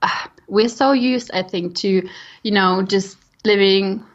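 A woman's voice: mid-range voice, slow pace. A young woman from Germany with a German accent, telling her own story conversationally as a podcast guest. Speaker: podcast guest, nutrition coach. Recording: dull, slightly lo-fi audio.

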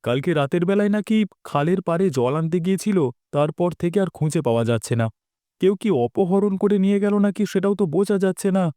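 A village shopkeeper and father in a cartoon, voiced by a man, speaks in a high but mellow voice. He sounds concerned as he informs, in a dry recording. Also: lively intonation, fast, no room sound. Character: village shopkeeper father bringing worried news to son. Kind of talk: cartoon dialogue acting.

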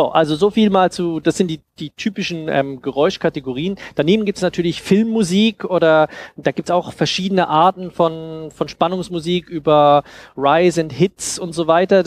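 A man in his thirties giving an informal, explanatory workshop talk into a headset mic, often halting and repeating words. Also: a little high. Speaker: speaker giving a talk on sound design.

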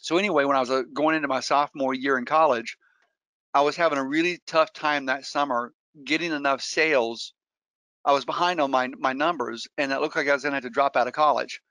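A man's voice: medium pitch, fairly even intonation, brisk, pushed and bright, dry recording. A middle-aged man, a real estate investor, giving an earnest webinar talk and telling stories with frequent restarts. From Colombia. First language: Spanish. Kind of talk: webinar presentation.

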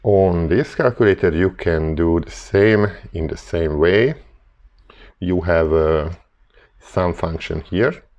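A very deep-voiced man with a Hungarian accent talks slowly through a hands-on calculator lesson. His English is careful and halting, with frequent "uh" pauses. The audio sounds slightly dull and lo-fi. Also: a little mellow. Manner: halting, many "uh" fillers, careful non-native English. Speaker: calculator tutorial maker. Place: desk indoors, filming paper and calculators.

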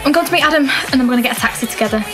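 A woman's voice: a little high, very fast, music in the background, lightly acted. A young woman playing a tipsy, appeasing girlfriend in a radio drama. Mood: evasive. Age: young adult. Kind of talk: naturalistic student radio drama acting.

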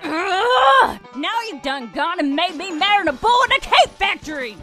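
A woman's voice: very high-pitched, big pitch swings, moderate pace, bright, forceful tone, exaggerated voice. A woman voicing a scrappy, hot-tempered cowgirl outlaw in a cartoon dub, with a folksy Southern US drawl. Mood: indignant. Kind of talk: cartoon comic dub acting. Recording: music behind the voice.

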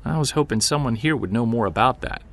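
A man's voice: medium pitch, quick pace, fairly bright tone. A man playing an earnest, wry, self-deprecating amateur monster hunter in a naturalistic audio drama. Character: driven, self-deprecating male amateur Bigfoot investigator.

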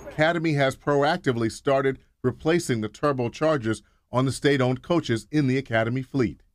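A middle-aged man reporting an investigative news story in a measured, emphatic scripted delivery. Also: mid-range voice, noisy background.

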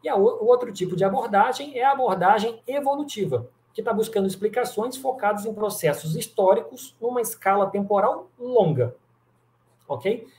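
A man's voice: high-pitched, animated, normal speed, darkish tone. A middle-aged man giving an online lecture over slides on a video call, explaining step by step with frequent fillers and restarts. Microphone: webcam on video call. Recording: echoey room.

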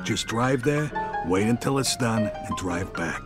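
American mob underboss, middle-aged, low voice, friendly but calculating, streetwise, cinematic game dialogue, clear background music. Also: male voice, expressive, a little slow. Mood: scheming. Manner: casual, streetwise tough talk.

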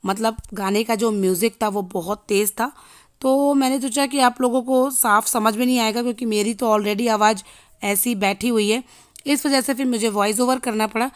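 A woman in her thirties with a hoarse voice, chatting casually to viewers in a home vlog, filmed on a handheld phone. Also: a little high, moderate pace, no room sound, clean studio sound. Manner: chatty, addressing viewers directly. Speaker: vlogger. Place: home kitchen and living room. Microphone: handheld phone selfie.

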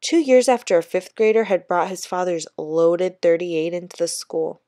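A woman reading a children's story aloud like a teacher, in a measured, expressive narrating manner. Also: on the low side, dry recording, clean studio sound.

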